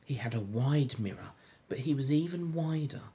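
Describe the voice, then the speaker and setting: A man reading a storybook aloud to a child in an informal, encouraging, gentle way, with chatty asides. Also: mid-range voice, expressive, moderate pace, vocal fry, dull audio. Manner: encouraging, gentle, echoes the child's words back. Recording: home recording with faint background noise.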